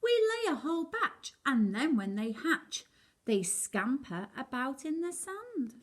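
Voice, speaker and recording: A woman voicing a small, gentle mother sea turtle from a children's storybook in a sing-song manner. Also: high-pitched, very animated, unhurried, voice pushed up. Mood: eager.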